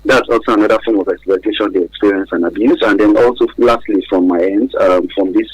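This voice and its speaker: A man with a Nigerian accent and a husky voice explaining things haltingly as a guest in a radio interview, repeating phrases for emphasis. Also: lowish voice, dry recording, low-quality recording. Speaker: studio guest on a radio programme.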